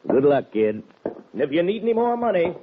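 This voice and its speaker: An actor playing a scheming Western villain in an old-time radio drama, talking in a folksy, falsely fair drawl. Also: male voice, a little high, very animated, a little fast, background noise. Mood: smooth, persuasive. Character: hard, scheming Western villain, a fatherly-sounding ranch boss. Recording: tinny, band-limited old radio recording.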